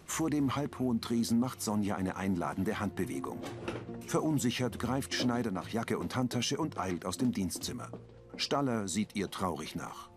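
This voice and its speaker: A man's voice: lowish voice, moderate pace. Man reading audio description over music. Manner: calm, even reading of stage action.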